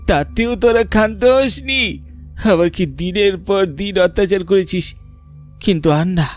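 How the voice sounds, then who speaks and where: A man's voice: sits high, pitched above the performer's own voice. A man voicing the vengeful ghost of a wronged young orphan in a horror cartoon, accusing and declamatory.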